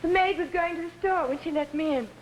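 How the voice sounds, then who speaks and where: An actress playing an ambitious young pianist who is outwardly demure and secretly scheming, in 1950s television drama style. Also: female voice, very high voice, quick pace. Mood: composed, subdued. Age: young adult. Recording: noisy, aged 1950s television soundtrack.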